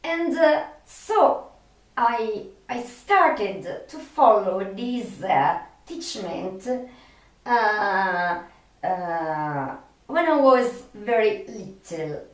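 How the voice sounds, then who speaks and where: A woman who is not a native English speaker, talking unscripted to viewers as a video host and searching haltingly for her words. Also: mid-range voice, animated. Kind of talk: unscripted talk to viewers about a favorite film. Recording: roomy echo, distant microphone.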